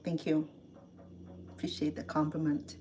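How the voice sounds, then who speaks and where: A woman's voice: low voice, level tone, measured pace, a little mellow. A middle-aged woman from Cambodia with a Cambodian accent, chatting casually with livestream viewers while cooking in her home kitchen. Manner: chatty, answers viewer comments, laughs often.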